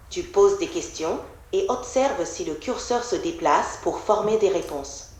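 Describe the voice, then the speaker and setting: A computer-generated woman's voice answering as an AI assistant, measured and polite. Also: on the low side, darkish tone, reverberant. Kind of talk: calm scripted assistant replies.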